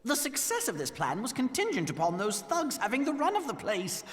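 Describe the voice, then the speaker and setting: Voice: medium pitch, wildly swooping pitch, a little fast. An actor playing a shrewd, pedantic scholar mage in a fantasy game, with dry, formal diction. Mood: dry, skeptical. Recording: long hall-like reverb.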